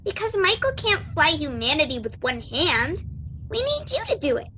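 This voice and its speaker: Very high-pitched girl, played by a young woman, bossy, persistent, animated, radio drama, some background noise. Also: moderate pace, heavily acted. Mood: impatient, persuasive. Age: young adult. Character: bossy, persistent teenage girl, brisk and impatient. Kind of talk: radio play acting.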